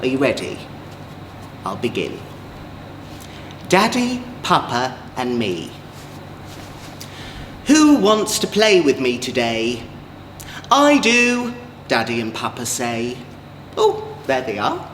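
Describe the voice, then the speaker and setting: Male drag storyteller for children's tales; camp, unhurried, highly expressive pitch, playful asides. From England. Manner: campy, playful, exaggerated, chatty asides to young listeners. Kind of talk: theatrical children's story reading. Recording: roomy echo, faint background noise.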